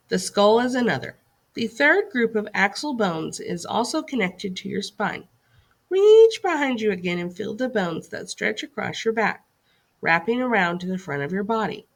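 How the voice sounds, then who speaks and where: Woman, teacher, scripted read-aloud for kids, animated intonation, some vocal fry, instructive, questioning delivery. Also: medium pitch. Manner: instructive, with questions put to listeners. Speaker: teacher reading a lesson aloud. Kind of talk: scripted read-aloud lesson for young children.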